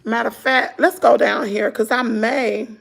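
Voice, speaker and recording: A middle-aged woman with a Southern US accent, chatting hoarsely to her viewers at home on a phone livestream. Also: a little high, steady tone, moderate pace. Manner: chatty, laughing, drawling, talks to "y'all". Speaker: vlogger on a morning livestream. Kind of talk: casual chat with viewers. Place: at home indoors.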